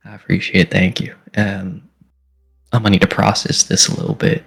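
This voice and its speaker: A man playing a tabletop game, speaking his character in a halting, hesitant way. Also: deep voice, little pitch movement, gravelly, fairly bright tone, breathy edge, soft background music. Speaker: player.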